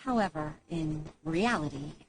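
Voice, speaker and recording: An actress playing an earnest, admiring young secretary in a video game, heartfelt and a little hesitant. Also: female voice, low voice, animated, measured pace, over music, exaggerated voice. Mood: shy admiration. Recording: game audio under clear noise.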